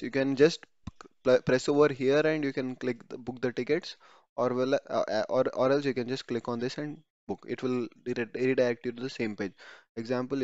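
A man's voice: mid-range voice, darkish tone, no room sound. Man narrating a screen walkthrough, dry and dull. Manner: conversational, repeats words, self-corrects mid-word. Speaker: YouTube tutorial host. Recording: dry, slightly dull home voice-over.

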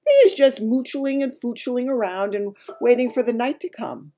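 A woman voicing a gentle, runty male giant from a children's storybook, talking in muddled grammar and made-up words. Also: on the high side, normal speed, pitched above the performer's own voice, lightly acted. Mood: matter-of-fact, eager. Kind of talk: dramatised children's storybook reading.

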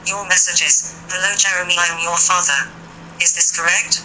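A woman's voice: fairly low pitch, fairly even intonation, normal speed. A robotic, synthesized woman's voice reading formulaic text-to-speech prompts from a phone speaker. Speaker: phone voice assistant. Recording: phone speaker in noisy room recording.

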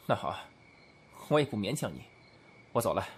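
A man's voice: lowish voice, big pitch swings, moderate pace, a little hiss or hum. An actor playing a lovesick, persistent and sheepish young businessman in an amateur audio drama. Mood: pleading, hurt.

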